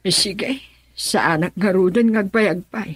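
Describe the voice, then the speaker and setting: An actress with an Ilocano accent playing a concerned older mother in a radio drama, her voice a little husky. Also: medium pitch, measured pace. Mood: resigned.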